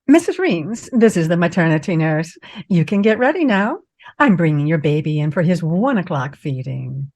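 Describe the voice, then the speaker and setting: Businesslike maternity nurse, lower voice, radio comedy. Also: female voice, big pitch swings, normal speed, darkish tone. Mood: brisk. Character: brisk matter-of-fact maternity nurse.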